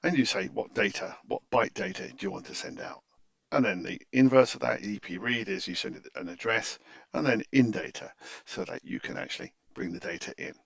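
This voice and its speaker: A man's voice: medium pitch, lively intonation, fairly quick, creaky, fairly bright tone, dry recording. A man narrating an informal technical tutorial in a hesitant, stop-start way, often correcting himself, in a slightly muffled close-miked recording. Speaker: tutorial presenter.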